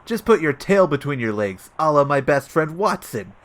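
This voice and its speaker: A man's voice: a little high, sing-song, moderate pace. A man playing a dim, earnest police detective in a comedic audio play, befuddled and literal-minded with a whiny manner. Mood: bumbling bravado. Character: dim, easily confused male police detective, earnest and bumbling.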